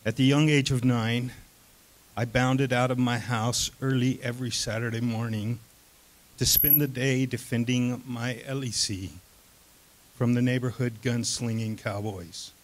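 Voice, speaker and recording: A man reading his own first-person story aloud on stage, deliberate, pausing between short phrases. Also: medium pitch, fairly even intonation. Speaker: reader of his own written piece.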